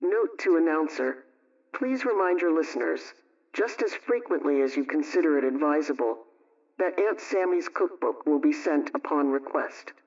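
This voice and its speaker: A man with a fairly high voice reads household advice from a script, sounding somewhat far from the microphone. His delivery is measured and instructional, and the radio sound is dull and muffled. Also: animated, darkish tone. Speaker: radio homemaking program presenter.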